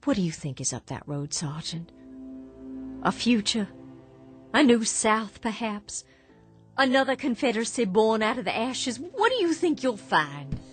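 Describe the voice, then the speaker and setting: A woman's voice: on the high side, sing-song, a little slow. An actress playing a sick, bitter widow in a radio drama, speaking emotionally and insistently and stammering at the start of her lines. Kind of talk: old-time radio drama acting. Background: faint underscore music.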